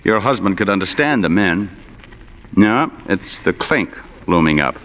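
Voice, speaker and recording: An actor playing an unflappable, sardonic, slangy hard-boiled private detective in 1940s radio acting style. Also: male voice, deep voice, lively intonation, measured pace. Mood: sardonic accusation. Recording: dull vintage radio recording, long hall reverb.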